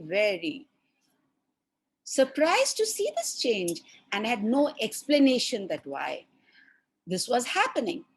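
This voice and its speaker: A woman telling a fairy tale to children in an expressive style, with deliberate, dramatic pauses between short phrases. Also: medium pitch, breathy edge, rough recording. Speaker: storyteller for children.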